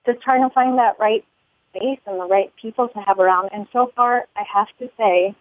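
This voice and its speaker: A chatty woman calls in over a tinny phone line, her voice dry and boxed in. She rambles, circles back on herself and laughs often, with a pitch sitting in the middle. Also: normal speed, no echo. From United States. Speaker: musician guest. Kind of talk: phone interview. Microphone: telephone.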